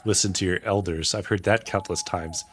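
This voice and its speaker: A man's voice: deep voice, steady tone, brisk, a little hiss or hum. A man streaming a game, reading visual novel dialogue aloud. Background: soft guitar game music.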